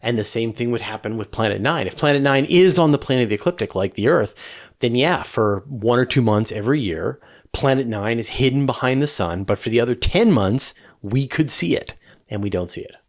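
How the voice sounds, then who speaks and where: A man's voice: medium pitch, expressive, a little fast. A middle-aged man hosting a space question-and-answer show, explaining conversationally with emphatic stresses and rhetorical questions.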